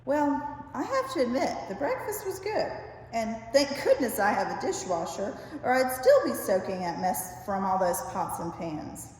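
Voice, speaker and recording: A woman's voice: fairly high pitch, sing-song, normal speed, darkish tone. Echoey older woman reading aloud. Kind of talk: reading a humorous essay aloud. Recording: very echoey reverberant room, faint hiss.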